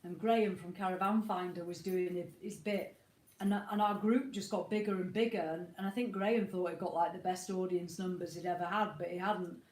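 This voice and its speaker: A woman with a head cold hosts a relaxed home livestream in a mid-range voice, sounding a little snotty. She rambles chattily from one greeting to the next. The sound is picked up on an iPad in a roomy, echoey room. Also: medium pitch. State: has a cold, a bit snotty. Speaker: co-host of a motorhome live chat. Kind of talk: casual livestream chat. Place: at home, indoors. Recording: roomy echo.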